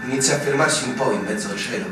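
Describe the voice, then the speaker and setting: A man's voice: mid-range voice, loud music behind the voice, close to an everyday voice. Man playing a solemn young lieutenant, echoey. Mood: solemn farewell. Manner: solemn, literary, measured. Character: melancholy, earnest young male army lieutenant. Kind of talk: theatrical radio drama acting. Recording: very echoey stage, distant mic, hiss.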